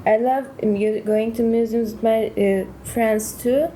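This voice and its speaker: A teenage girl from Turkey in a student podcast, speaking slowly and hesitantly. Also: on the high side, fairly even intonation, warm tone, slight background noise. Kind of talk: podcast discussion.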